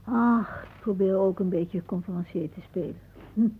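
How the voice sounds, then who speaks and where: An actress playing a wry, imaginative old aunt in a Dutch radio play, musing theatrically with pauses. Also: female voice, mid-range voice, breathy edge. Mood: wistful, musing. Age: older. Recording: muffled phone-like old radio recording.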